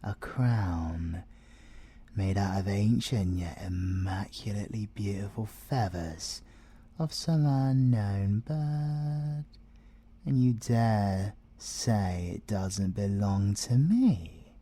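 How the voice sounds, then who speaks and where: A man acts out a possessive male siren with a British accent in an ASMR roleplay. The voice is very dark and soft-edged, with a breathy huskiness. Lines unfold extremely slowly and theatrically, teasing and gloating, sometimes sinking into a whisper. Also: fairly low pitch, animated, exaggerated voice. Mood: indignant, scornful. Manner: theatrical, teasing, slow and deliberate, slipping into whispers.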